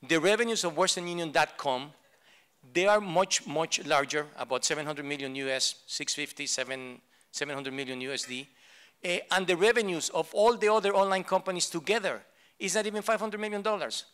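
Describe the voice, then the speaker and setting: Blunt halting man on a conference panel. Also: fairly high pitch, fairly bright tone, clean tone. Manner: blunt, halting, many fillers. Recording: live event room.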